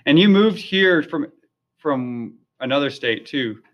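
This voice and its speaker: A man in a casual interview, asking curious, friendly questions with a slightly high voice. The recording sounds dull and lo-fi. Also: expressive, slow pace. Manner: friendly, curious, many fillers.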